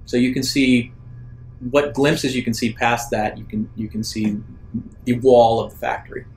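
A man acts as game master for a tabletop roleplaying session. His voice sits at a middle pitch, and he lays out each scene deliberately, often pausing midway through a description. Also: gravelly, a little mellow, a little hiss or hum, low-quality recording. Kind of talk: improvised narration of a tabletop sci-fi roleplaying game.

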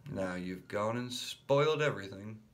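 A man voicing an older brother playing a dress-up fight, shouting challenges and brushing off his little brother. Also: on the low side, expressive, moderate pace, natural voice. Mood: impatient. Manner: shouting, impatient.

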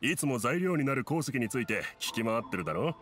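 An actor playing a kindly, jovial middle-aged merchant in an anime game. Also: male voice, mid-range voice, big pitch swings, fast, a bit bright, slight background noise. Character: genial middle-aged former merchant, a father. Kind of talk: anime video game voice acting. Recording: music behind the voice.

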